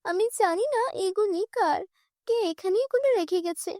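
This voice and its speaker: A woman voicing a gentle, responsible young orphaned girl, an elder sister, speaking earnestly and reassuringly. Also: extremely high pitch, normal speed, no room sound, clean studio sound. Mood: thoughtful.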